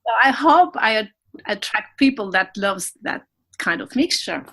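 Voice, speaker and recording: A woman with a non-native English accent, a podcast guest in casual conversation, animated and often laughing at herself while searching for words. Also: medium pitch, a little slow. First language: not English.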